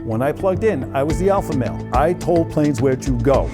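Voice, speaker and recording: A man's voice: on the high side, animated, brisk. A middle-aged American man speaking in an interview as an instructor and former air traffic controller. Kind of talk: interview remarks. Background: music.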